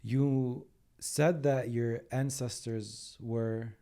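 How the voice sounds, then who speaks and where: A man's voice: medium pitch, fairly even intonation, very slow, dark tone. A man hosting an interview, asking questions hesitantly with fillers like "like" and "um". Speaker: podcast host.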